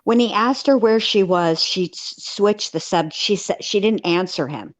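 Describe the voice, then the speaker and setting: A woman's voice: medium pitch, unhurried, no echo. A woman co-hosting a discussion, commenting casually in an emphatic, analytical way. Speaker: co-host of a true crime recap show.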